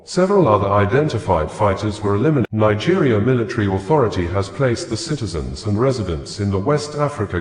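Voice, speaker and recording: A man reading scripted news reports in a formal, measured manner. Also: fairly low pitch, a little mellow. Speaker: news reader. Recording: echoey, reverberant room sound.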